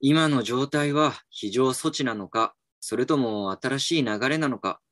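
A man's voice: medium pitch, a little slow, fairly bright tone, no echo, clean studio sound, lightly acted. An actor playing a reflective, slightly dejected male employee in a voice drama, polite and musing. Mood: wry, polite. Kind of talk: voice drama acting with inner narration. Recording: music behind the voice.

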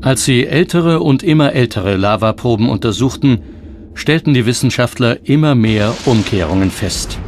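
A man's voice: medium pitch, expressive, moderate pace, slight background noise. A man reading scripted documentary narration as the narrator. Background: background music.

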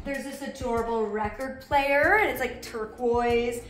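A woman's voice: on the high side, measured pace, roomy sound, a little hiss or hum. Nasal bubbly young woman vlogger. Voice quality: nasal from recent illness. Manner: bubbly, gushing, lots of "like". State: getting over a cold. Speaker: vlogger giving a house tour. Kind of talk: casual chatty house tour. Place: bright home interior, indoors.

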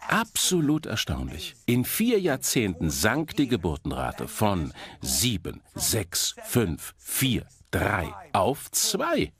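A man's voice: on the low side, wildly swooping pitch, takes it slowly, fairly bright tone. A man giving an explanatory, emphatic documentary lecture. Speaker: presenter lecturing with statistics. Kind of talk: documentary lecture voice-over in German dub.

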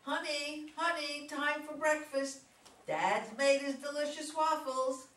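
A woman's voice: fairly low pitch, animated, measured pace. A woman playing an affectionate, protective suburban American mother, young middle-aged, in a stage play reading. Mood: calling sweetly. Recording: roomy, distant, some noise.

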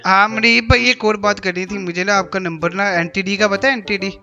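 A man's voice: high voice, steady tone, brisk. A man in his twenties from Pakistan with a Pakistani accent, a streamer chatting casually on a prank phone call. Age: 20s. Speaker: gaming streamer making prank calls. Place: indoor gaming room. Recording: muffled sound, loud music behind.

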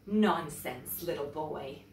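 Woman playing an exasperated, slow-talking storybook mother. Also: low voice, a little mellow, echoey room, far from the mic, slight background noise, voice pushed down. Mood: dismissive. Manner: emphatic, chiding.

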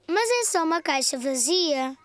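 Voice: high voice, animated, unhurried, a bit bright. An actor voicing an eager, curious little four-year-old boy in a children's cartoon, with a European Portuguese accent. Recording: music behind the voice.

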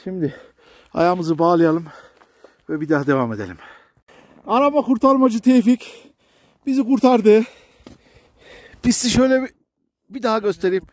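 A man's voice: sits high, lively intonation, moderate pace, pauses often, a little mellow, no echo. A man chatting casually to viewers and companions as a jokey, exclamatory vlogger out in the open while on the move. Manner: active, talking while snowboarding. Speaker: vlogger presenting a ski slope. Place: outdoors on a steep ski slope.

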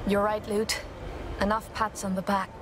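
An actress playing a steady, serious young woman warrior in a fantasy game, composed and terse. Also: medium pitch, normal speed, noisy background, lightly acted. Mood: resolute. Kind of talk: dramatic fantasy game dialogue.

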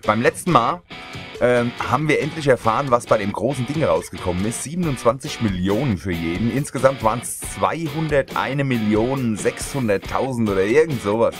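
A man's voice: medium pitch, lively intonation, moderate pace. A man with a slight cold casually commenting on his game, chatting and joking with viewers. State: a bit stuffy from a cold. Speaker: let's player. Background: loud game music.

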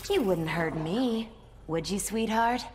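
A woman's voice: mid-range voice, slow pace, close to an everyday voice. A woman playing a confident, taunting female fighter in a video game, with an arch, mocking manner. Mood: teasing. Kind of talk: video game character dialogue. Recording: long reverb, faint noise and clicks.

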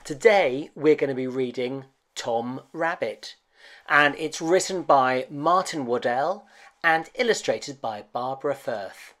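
A man narrating a children's storybook as host, with gentle, deliberate, expressive phrasing. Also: mid-range voice.

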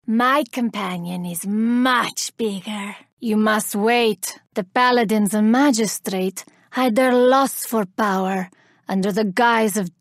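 An actress playing a vengeful, haughty elven woman warrior in a video game, speaking with deliberate, theatrical menace. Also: fairly high pitch, no echo, clean studio sound. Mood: defiant menace. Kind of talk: video game character voice lines.

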